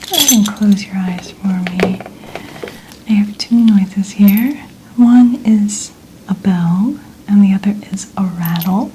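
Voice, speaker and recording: A woman doing a soft-spoken ASMR medical exam roleplay, gently whispering in a soothing, reassuring manner. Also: mid-range voice, takes it slowly, slight background noise, rough recording. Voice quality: soft, breathy, largely whispered. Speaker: ASMR performer role-playing a medical examiner.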